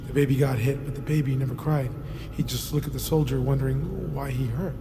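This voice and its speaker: An actor playing a troubled army therapist in an audio drama, haunted and burdened, with a halting delivery. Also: male voice, medium pitch, fairly even intonation, noisy background, close to an everyday voice. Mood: somber, haunted. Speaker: military psychologist. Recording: long reverb, music underneath.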